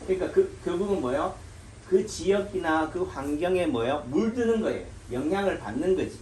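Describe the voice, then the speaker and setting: Rhetorical, higher-voiced man in an echoey room. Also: expressive, brisk, darkish tone, slight background noise. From Gyeongsang region of Korea. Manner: rhetorical questions answered himself. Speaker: Korean medicine doctor. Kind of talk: informal health lecture.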